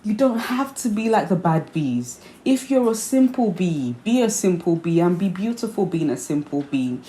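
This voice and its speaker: A woman's voice: lowish voice, expressive, normal speed, mellow. A young woman vlogger from Nigeria with a hybrid Nigerian accent, chatting earnestly to camera and explaining her points by repeating them. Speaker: vlogger host. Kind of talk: casual chat to camera. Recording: echoey room with faint ambience.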